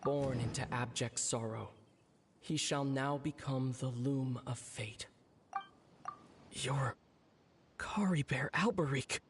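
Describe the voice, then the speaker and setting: A man playing a serious, pensive character in a video game, deliberating in measured inner monologue. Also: mid-range voice, noisy background. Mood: grave realization. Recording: long reverb on the voice.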